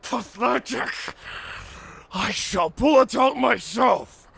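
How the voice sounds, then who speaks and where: A man playing a proud, honor-bound and taunting werewolf warrior in a cinematic audio roleplay, speaking formally with a menacing, raspy growl. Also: very high voice, a bit bright, no echo, full character voice. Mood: scornful, defiant. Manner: slow, menacing, theatrical, formal speech.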